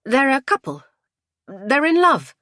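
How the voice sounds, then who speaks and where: No-nonsense mum voiced by a female narrator, brisk and firm, animated pitch, dry studio sound. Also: dry recording, exaggerated voice. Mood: explaining. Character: practical, no-nonsense mother, a bit exasperated.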